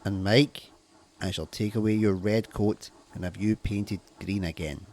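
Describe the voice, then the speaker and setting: A man's voice: lowish voice, fairly even intonation, unhurried, darkish tone. A man voicing a strict but fair railway controller in an amateur cartoon comic dub, firm and formal as he reprimands. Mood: stern, disappointed.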